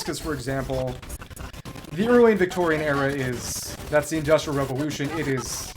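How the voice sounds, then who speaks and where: Male game streamer, slightly high voice, discursive and scholarly casual talk, some background noise and clicks. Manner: bookish, discursive, digressive. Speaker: livestreamer playing a video game. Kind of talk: casual rambling commentary.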